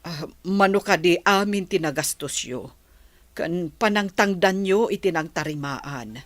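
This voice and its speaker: A woman acts out a humble, worried mother in a radio drama. Her voice sits a little low and moves slowly, hesitant and pleading as she speaks for her child. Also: natural voice. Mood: anxious, pleading. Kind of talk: Filipino radio drama acting.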